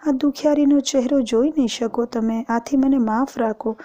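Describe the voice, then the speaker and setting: A woman's voice: high voice, fairly even intonation, moderate pace, warm tone, close to an everyday voice. A woman voicing a grieving holy mother. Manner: lamenting, anguished. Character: grieving mother, a holy woman mourning her slain son.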